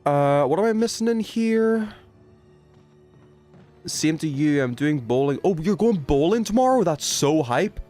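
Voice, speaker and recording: Male game streamer, very lively intonation, loose slangy chat, thinks out loud, music behind. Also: on the high side, unhurried. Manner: thinking aloud, self-questioning, trailing off; informal slangy speech. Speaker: game livestreamer. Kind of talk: casual livestream gameplay chat.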